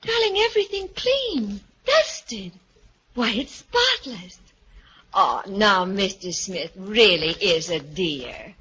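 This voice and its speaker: An actress playing a cheerful, dismissive wife in a 1940s radio drama, coaxing and sweeping doubts aside. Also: female voice, mid-range voice, big pitch swings, slow pace, pushed and bright, slightly rough, heavily acted. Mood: delighted. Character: cheerful, dismissive wife keen on a new house. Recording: dull old 1940s radio recording.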